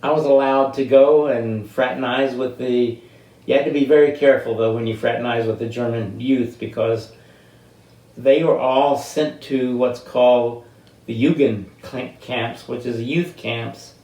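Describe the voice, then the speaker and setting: An elderly man in his eighties from the United States telling personal stories in an unscripted, halting, deliberate way, with long pauses and drawn-out words. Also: mid-range voice, steady tone, mellow. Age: 80s. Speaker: interviewee telling life stories. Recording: roomy, distant microphone.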